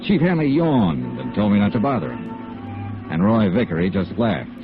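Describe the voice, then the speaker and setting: Hard-boiled American radio detective, low swooping delivery, clipped, insistent, 1950s noir style, dull vintage recording. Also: male voice, sing-song, normal speed, music in the background. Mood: dry narration. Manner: clipped, matter-of-fact, insistent. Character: hard-boiled, persistent male insurance investigator. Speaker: lead actor and narrator of a radio detective drama.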